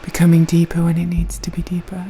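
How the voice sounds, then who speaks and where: A woman gently leading a guided meditation in a soft, breathy voice, speaking slowly and soothingly with long pauses. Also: on the low side, monotone, a little hiss or hum. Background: soft meditation music.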